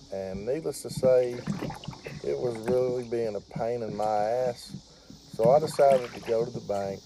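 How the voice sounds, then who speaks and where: Fishing vlogger in his middle years: low-voiced and easygoing, recorded on a kayak-mounted camera over insect noise. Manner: relaxed, folksy storytelling. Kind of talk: casual outdoor vlog. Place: kayak on a pond outdoors. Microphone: action camera mounted on kayak. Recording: outdoor action-camera audio with insect noise. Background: insects and water.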